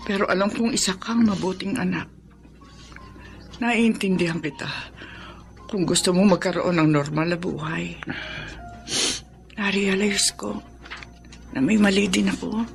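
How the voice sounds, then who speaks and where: A woman's voice: fairly low pitch, unhurried, vocal fry, pauses often, soft background music. An actress playing a devoted, emotional mother in a Filipino radio drama. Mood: tender, remorseful. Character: devoted, tearful mother of two sick sons. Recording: noisy ambience behind the voice.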